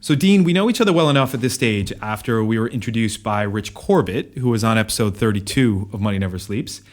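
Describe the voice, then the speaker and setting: A man's voice: fairly low pitch, animated. A man co-hosting a podcast, asking long, rambling interview questions full of ums and restarts, recorded in an office. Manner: brisk read intro, then rambling questions full of ums. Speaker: podcast co-host. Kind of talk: scripted intro, then interview questions. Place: office in a shared workspace.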